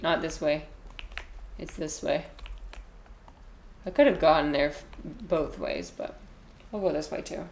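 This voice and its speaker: Woman in her twenties, giggly rambling streamer, lowish voice, roomy echo. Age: 20s. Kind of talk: casual gameplay commentary. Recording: echoey room with faint noise.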